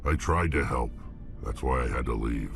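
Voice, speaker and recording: An actor playing a blunt, hulking male alien mercenary who speaks slowly and gruffly. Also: rumbling low. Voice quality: gravelly. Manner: slow, blunt, growling. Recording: game audio with music under it.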